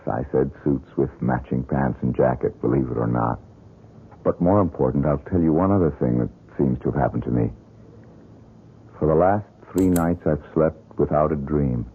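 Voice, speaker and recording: An actor playing an earnest, excitable painter with a husky voice, in old radio drama style. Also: male voice, a bass voice, narrow, tinny audio, heavily acted. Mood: quiet relief. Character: earnest, excitable, haunted struggling male painter. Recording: thin band-limited old radio broadcast.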